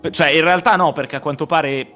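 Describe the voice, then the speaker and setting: A man's voice: fairly high pitch, brisk, pushed and bright, faint music behind. A man chatting casually and jokingly as part of a live reading cast.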